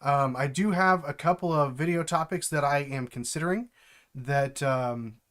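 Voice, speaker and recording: A stuffed-up middle-aged man talks casually to his live-stream viewers from home, stopping and restarting often. His voice is smooth and resonant, pitched a bit higher than most men's. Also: a bit bright. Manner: halting, with many fillers and restarts. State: stuffed up from allergies. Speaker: streamer and developer mentor.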